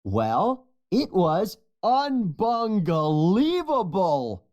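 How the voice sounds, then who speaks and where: A narrator putting on an excitable high-pitched honey badger. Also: very slow, dull audio. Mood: amazed. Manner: exuberant, exclamatory. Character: fearless, excitable young male honey badger.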